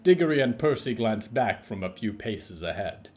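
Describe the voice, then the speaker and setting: A man's voice: on the low side, lively intonation, moderate pace, darkish tone. A man narrating a scripted fiction story as its storyteller.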